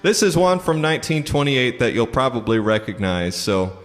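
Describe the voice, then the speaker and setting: A man's voice: mid-range voice, sing-song, moderate pace, big-room sound, soft background music. Young man chatting between songs. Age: 20s. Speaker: musician performer. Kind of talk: casual stage banter between songs.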